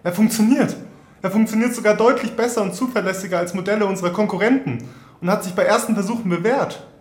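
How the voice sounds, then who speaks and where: A man's voice: high-pitched, fast, natural voice. A man playing an earnest, brooding nineteenth-century Prussian inventor in a formal, declamatory audio drama monologue. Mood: proud, excited.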